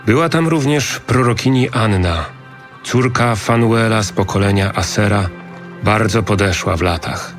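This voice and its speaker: Guy narrating the bible, slow n solemn, lowish voice, music behind. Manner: measured, solemn. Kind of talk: scripted scripture narration.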